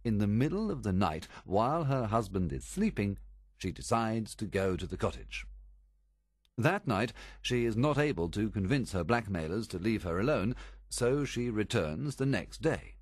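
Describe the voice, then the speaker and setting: A man reading a story aloud as a calm, reasoning detective, explaining a theory in a measured way. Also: lowish voice, breathy edge, no room sound, studio quality.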